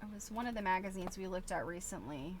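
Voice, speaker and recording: A woman's voice: on the low side, expressive, fast talker, slight background noise, poor audio. A middle-aged woman chatting casually as she shows things to viewers, thinking aloud in a rambling, chuckly way. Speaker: host of a quilting show. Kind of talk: casual show-and-tell chat.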